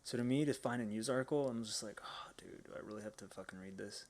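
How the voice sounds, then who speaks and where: A man's voice: lowish voice, fast, darkish tone. A man co-hosting a casual podcast, talking in a rambling, slangy, informal way. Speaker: podcast co-host.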